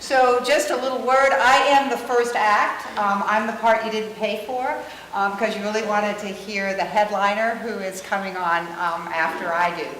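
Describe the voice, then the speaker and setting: A woman's voice: mid-range voice, steady tone, moderate pace, hardly any pauses, a bit bright, slight background noise. A middle-aged American woman, a physician, giving a conversational and emphatic conference talk, repeating her points. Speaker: physician speaker. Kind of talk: conference presentation. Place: hotel conference room. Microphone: no mic, room pickup. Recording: echoey hall from far off.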